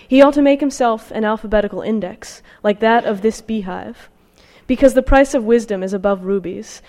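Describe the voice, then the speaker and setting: A woman delivers an academic lecture in a large room with long reverb around her voice. She has a mid-pitched voice and a scholarly, slightly halting manner as she presents her research. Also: darkish tone. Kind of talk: academic talk with slides, reading out quotations.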